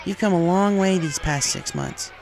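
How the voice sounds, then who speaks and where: A man's voice: sits high, sing-song, moderate pace, darkish tone, voice pushed up. A man voicing a confident, encouraging female pegasus team captain in a story reading, reassuring in manner.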